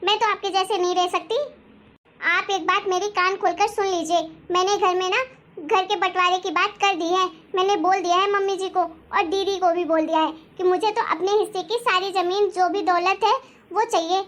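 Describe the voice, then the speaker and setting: Casting: female performer as angry young wife, extremely high voice, sarcastic and quarrelsome, moral-story family drama. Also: fairly even intonation, moderate pace, fairly bright tone. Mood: defiant, demanding. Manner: scolding, sarcastic, argumentative. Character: angry, sharp-tongued young wife demanding her share. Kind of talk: acted dialogue in Hindi family story.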